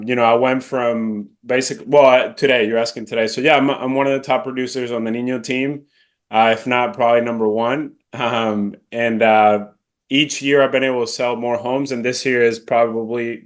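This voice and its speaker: A man in his thirties from Venezuela with a faint Venezuelan Spanish accent, a podcast guest talking casually and correcting himself as he goes. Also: medium pitch. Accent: slight Venezuelan Spanish. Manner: rambling, self-correcting, many fillers. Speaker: podcast guest, real estate agent. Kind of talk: casual interview conversation.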